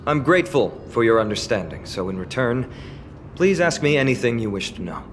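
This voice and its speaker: A young man voicing a polite, earnest young warrior guide in a video game, explaining in a formal, measured way. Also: medium pitch, sing-song, slight background noise, natural voice. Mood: solemn, courteous. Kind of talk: scripted video game dialogue. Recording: orchestral game music behind the voice.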